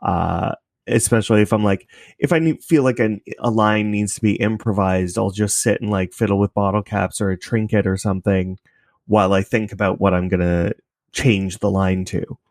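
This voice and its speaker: A man's voice: fairly low pitch, steady tone, moderate pace, darkish tone, no room sound, studio quality. A man, speaking as a guest in a casual interview, rambling thoughtfully. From Canada. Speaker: interview guest, podcast creator. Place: home basement apartment, at a computer desk.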